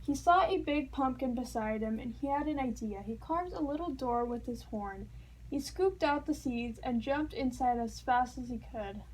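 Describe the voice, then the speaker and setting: A woman narrating a children's storybook aloud in an expressive, playful storytelling manner. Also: high-pitched, moderate pace, a little hiss or hum, low-quality recording. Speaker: storybook reader.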